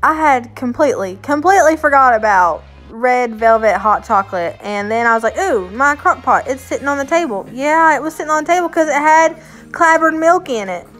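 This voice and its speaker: A woman's voice: sits high, lively intonation, brisk, fairly bright tone, faint music behind. A woman in her thirties with a Southern US accent, chatting in a self-mocking way in a home vlog voice-over. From Smoky Mountains area, USA. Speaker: vlogger. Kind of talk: casual voice-over chat.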